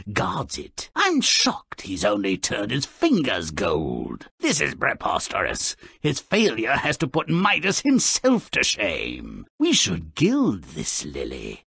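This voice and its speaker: An actor voicing a sardonic, gleefully morbid gnome-like troublemaker who tells fairy tales in a mocking, theatrical, gravelly way for a cartoon game. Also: male voice, low voice, a bit bright, no echo, clean studio sound, heavily acted. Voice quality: gravelly, raspy. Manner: theatrical, sarcastic, mocking storyteller. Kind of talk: scripted cartoon game narration.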